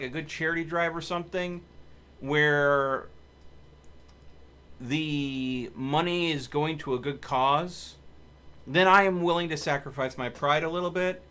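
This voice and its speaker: A man's voice: fairly high pitch, pushed and bright, clear, resonant tone. A man hosting a live game stream, chatting casually and commenting on his play, with game music behind him. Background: video game music.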